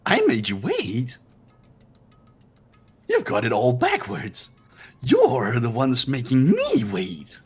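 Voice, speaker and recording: Wildly animated, taunting robot bear. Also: male voice, medium pitch, takes it slowly, long pauses, husky, faint music behind, heavily acted. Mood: mock indignant. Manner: theatrical, mock-indignant. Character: mischievous, menacing robotic bear mascot, gleefully taunting. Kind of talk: fan-dubbed visual novel game acting.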